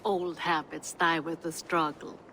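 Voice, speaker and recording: A woman playing a capable, fiercely loyal Norse woman in a video game, formal, dry and measured in her speech. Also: deep voice, level tone, fairly bright tone, slight background noise. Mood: wry. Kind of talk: dramatic video game dialogue.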